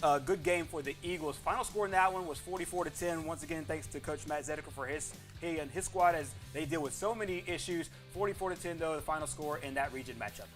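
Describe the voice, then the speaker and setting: A man hosting a sports show, reading high school football scores in an emphatic announcer style. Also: fast, hardly any pauses, fairly bright tone, soft background music.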